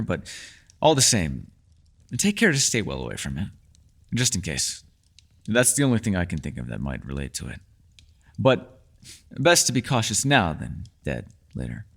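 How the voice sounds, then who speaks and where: A man's voice: on the low side, creaky, a bit bright, exaggerated voice. A man playing a mysterious, learned elf in a fantasy ASMR roleplay, talking to the listener in a bookish, rambling and playfully formal way. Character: mysterious, learned male elf, talkative and gently teasing.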